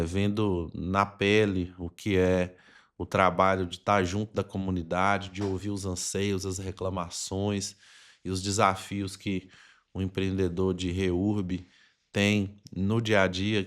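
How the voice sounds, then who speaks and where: A man with a Brazilian accent hosting a conversational podcast interview, explaining things at length in a wordy way. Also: sits low. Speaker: podcast host.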